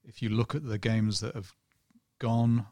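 A man who is a guest journalist, talking in a flat, monotone, self-deprecating way with many ums. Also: fairly low pitch, measured pace, dry recording, clean studio sound. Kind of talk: casual podcast chat.

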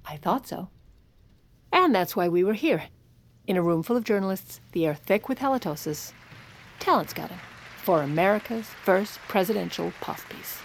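A woman's voice: mid-range voice, animated, moderate pace. An actress playing a shrewd, protective First Lady who narrates her own story in an audio drama with a wry, commanding manner. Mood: wry narration.

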